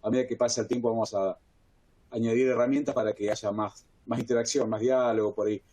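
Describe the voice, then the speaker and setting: A man's voice: medium pitch, level tone, fast talker, warm tone. A man from Argentina with an Argentine accent, moderating a technical webinar in an enthusiastic, rambling way. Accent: Argentine Spanish.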